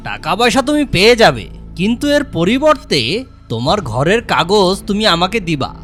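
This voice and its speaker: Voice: medium pitch, sing-song, moderate pace, fairly bright tone, faint music behind. A performer voicing a greedy, scheming village man in a children's cartoon, with a sly, bossy manner.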